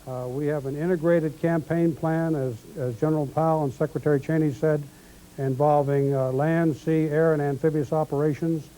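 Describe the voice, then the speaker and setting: Mellow, higher-pitched man briefing. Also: fairly even intonation, normal speed, low-quality recording. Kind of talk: official briefing.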